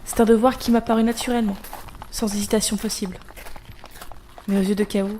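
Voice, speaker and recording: An actress playing a devoted young elder sister in an audio drama, telling of her past in a measured, earnest, literary way. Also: mid-range voice, level tone, mellow, slight background noise, lightly acted. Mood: wistful, sober. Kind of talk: audio drama acting and storytelling.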